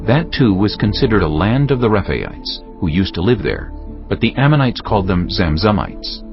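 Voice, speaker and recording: A man narrating scripture with an expressive, lively delivery. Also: fairly low pitch, moderate pace. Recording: dull lo-fi audio with music.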